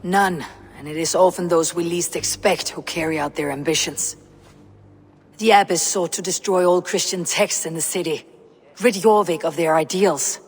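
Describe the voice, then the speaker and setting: An actress playing a steely, blunt Viking warrior in a video game, speaking in a terse, dry, defiant way. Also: female voice, fairly low pitch, normal speed, husky. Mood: grim, measured. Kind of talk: dramatic video game acting. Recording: long hall reverb, faint background noise.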